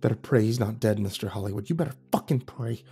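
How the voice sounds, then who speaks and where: A man's voice: a little high, animated, a little fast, darkish tone, voice pushed up. A man voicing a foul-mouthed young man in an audio horror story, bitter, scared and irritable, snapping out insults. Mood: angry hissing. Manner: snapping, hissing, growling, sarcastic insults.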